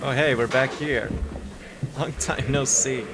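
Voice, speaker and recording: A man's voice: mid-range voice, measured pace, heavy background noise. A man giving casual reaction commentary as a streamer watching videos.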